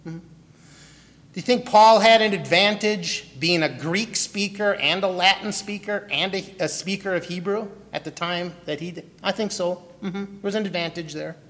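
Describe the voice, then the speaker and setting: A man's voice: high voice, moderate pace, a bit bright. A man from Milwaukee preaching a sermon with a personal testimony, putting questions to the listeners and calling on them by name. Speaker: pastor. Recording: long hall reverb.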